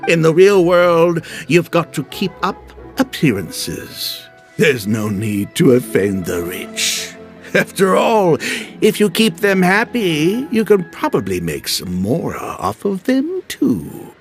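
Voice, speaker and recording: A genial older merchant in a game cutscene, lilting all over the place as he hands out folksy advice. His slightly raised voice is slow and unhurried. He talks like a smooth peacemaker set on calming everyone down. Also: fairly high pitch, soft background music, full character voice. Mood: lecturing. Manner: folksy, placating, dispenses worldly advice. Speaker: peacemaking tea house patron.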